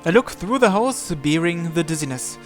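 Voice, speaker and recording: A man's voice: fairly high pitch, expressive, moderate pace, soft background music. A man hosting a visual novel playthrough, reading the first-person narration aloud.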